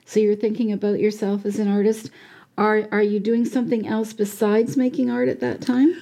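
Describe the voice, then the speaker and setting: A woman hosting a radio interview, steering with questions and quick mm-hmms. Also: mid-range voice, little pitch movement, gravelly, mellow. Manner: prompting, with frequent mm-hmms. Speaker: radio host. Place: radio studio.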